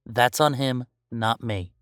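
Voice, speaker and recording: A man's voice: mid-range voice, slow pace, a bit bright, smooth, no room sound, studio quality, faint music behind. A man playing a playful, easygoing young werewolf boyfriend in a casual roleplay audio, chatting in a teasing, emphatic way. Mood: playfully defensive.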